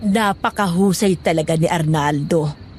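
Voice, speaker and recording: An actress playing an older, admiring village aunt in a Filipino horror audio drama. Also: female voice, on the low side, measured pace, a little mellow, a little hiss or hum, close to an everyday voice.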